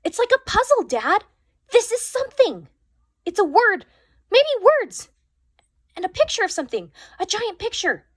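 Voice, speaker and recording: A woman's voice: very high-pitched, very animated, moderate pace, long pauses, no echo, voice pushed up. A woman voicing an eager young girl who insists on what she has found, in a storybook reading. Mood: excited.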